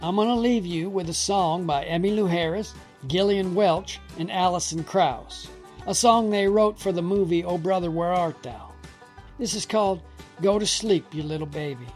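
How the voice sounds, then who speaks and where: A man's voice: high-pitched, moderate pace, soft background music. A man hosting a radio show, telling comic stories in a folksy, mock-solemn way.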